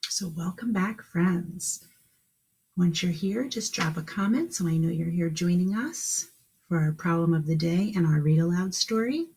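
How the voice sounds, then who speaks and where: A woman's voice: on the low side, measured pace, darkish tone, slight background noise, low-quality recording. A woman teaching kindergarten on a live stream, speaking encouragingly to children in simple questions and instructions.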